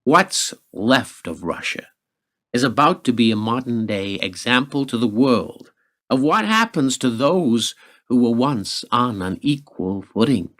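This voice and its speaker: A smug, menacing senior American government official acted by a man in a radio drama. He is sardonic and condescending, with a medium-pitched voice that swings theatrically up and down. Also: big pitch swings, measured pace, fairly bright tone. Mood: threatening.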